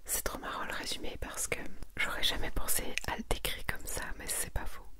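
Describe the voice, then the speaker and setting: A woman whispering an ASMR presentation in a breathy, intimate voice, moving between reading aloud and casual unhurried commentary. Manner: soft-spoken, intimate, unhurried. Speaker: ASMR host presenting a manga collection.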